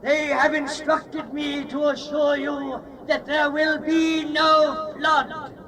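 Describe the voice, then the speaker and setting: Slow high-pitched official spokesman. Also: level tone, low-quality recording. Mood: official, assured. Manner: declamatory, official. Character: formal spokesman for elders and wise men. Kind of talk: dramatized Bible story oratory. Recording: crowd behind the voice.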